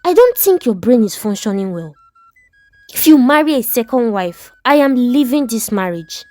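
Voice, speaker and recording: A woman's voice: a little high, sing-song, moderate pace, a little mellow, no room sound, soft background music. A woman voicing a betrayed Nigerian wife in a story, indignant, pleading and exclamatory, with a Nigerian accent. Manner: dramatic, exclamatory, questioning.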